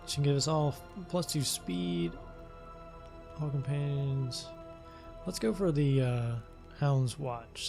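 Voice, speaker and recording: A man talks through his gameplay in a casual, thinking-aloud way as the host of a let's play. His voice is mid-pitched with a gravelly, creaky texture, and he often hesitates while he works out his next move. Game music plays clearly behind him. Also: a little mellow.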